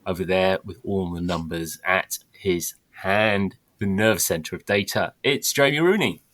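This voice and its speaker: A man hosting a football podcast who speaks haltingly, stopping and restarting his sentences. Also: low voice, fairly bright tone, no room sound. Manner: halting, stops and restarts often. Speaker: podcast host. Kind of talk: casual podcast chat.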